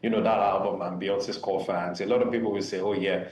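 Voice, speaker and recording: A low-voiced man argues his view, stalling on fillers as he goes. He sits away from the mic in a roomy space, with faint noise around him. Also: steady tone, fairly quick, darkish tone, far from the mic. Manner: hesitant, many fillers, opinionated. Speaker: music commentator guest. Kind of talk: opinionated discussion.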